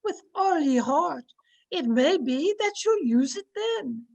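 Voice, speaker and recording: A woman's voice: high-pitched, animated, takes it slowly, creaky, darkish tone, highs cut off, full character voice. A woman playing a devoted, solemn old maidservant in an old-time radio drama. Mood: solemn, mysterious. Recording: home recording over video call.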